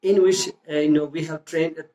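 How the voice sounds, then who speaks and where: A Pakistani man with a fairly high voice answers interview questions about his school in careful, earnest English. He pauses often, restarts his sentences and leans on fillers, and his non-native phrasing slips in grammar now and then. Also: steady tone, mellow. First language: Urdu or a local language. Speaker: school founder and English teacher.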